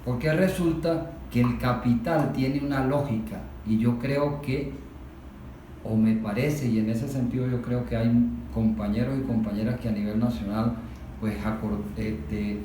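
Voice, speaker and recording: A man's voice: medium pitch, steady tone, dark tone. Venezuelan man explaining politics in an echoey room. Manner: deliberate, emphatic, poses rhetorical questions. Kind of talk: political discussion, explanatory and didactic. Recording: very echoey room, distant mic, faint noise.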